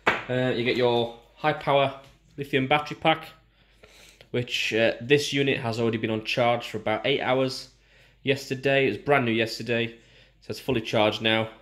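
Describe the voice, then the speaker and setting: A man's voice: medium pitch, fairly even intonation, moderate pace, a bit bright. A British man informally explaining and showing items in a casual unboxing review. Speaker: product reviewer.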